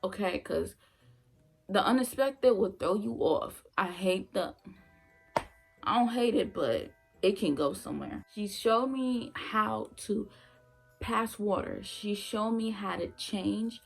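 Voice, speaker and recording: A woman's voice: mid-range voice, takes it slowly, creaky, husky, soft background music. A young woman, a former nursing assistant, telling her story in a casual vlog, blunt and emphatic, repeating her points for effect.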